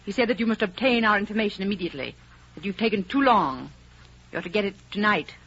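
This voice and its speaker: A woman's voice: mid-range voice, expressive, fast talker, a bit bright, husky. A woman playing a stern, dutiful maid who is a secret go-between in a 1930s radio drama, formal and ominous. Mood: stern warning. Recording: muffled, noisy old 1930s radio recording.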